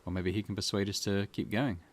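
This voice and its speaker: A man's voice: fairly low pitch, little pitch movement, quick pace. A man hosting a game playthrough, musing casually and rambling as he reads options aloud. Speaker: gaming channel host.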